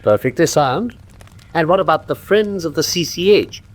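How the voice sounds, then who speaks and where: An actor with an English accent playing a confident, teasing gentleman detective in a classic radio drama, speaking precisely and with theatrical condescension. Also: on the high side, animated, moderate pace, close to an everyday voice. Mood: brisk, amused.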